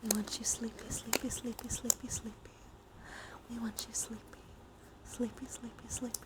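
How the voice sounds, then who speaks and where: A woman live streamer speaking softly, often in a whisper, in a gentle ASMR style mixed with casual chat. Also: on the high side, moderate pace. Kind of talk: ASMR, soft chatty livestream talk. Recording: clear steady background noise.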